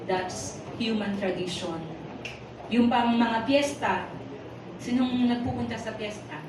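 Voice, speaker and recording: A Filipino-accented woman preaches in a chatty, teasing way, tossing questions at her listeners. Her voice is fairly high. It comes from a distance in a very echoey hall. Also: takes it slowly. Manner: animated and teasing, puts rhetorical questions to the congregation. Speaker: preacher at a Sunday worship service. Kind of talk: conversational sermon mixing Tagalog and English. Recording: echoey hall from far off, noisy.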